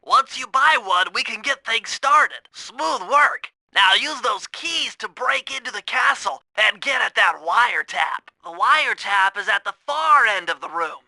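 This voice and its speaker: A brainy young cartoon turtle, with a very high, bright nasal voice. He lays out heist plans for a video game in an eager, precise and earnest way, sounding close and dry. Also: animated, measured pace, few pauses, no echo, full character voice, low-quality recording. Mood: brisk, instructing. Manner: precise, technical, eager mission briefing. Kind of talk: animated video game voice acting.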